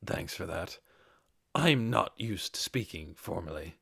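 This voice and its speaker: A man's voice: deep voice, lively intonation, a little slow, gravelly, sharp tone, slightly rough, no room sound, studio quality, pitched below the performer's own voice, heavily acted. Man as an earnest, plain-spoken lizardman warrior. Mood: polite, plain. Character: earnest, informal male lizardman warrior traveler.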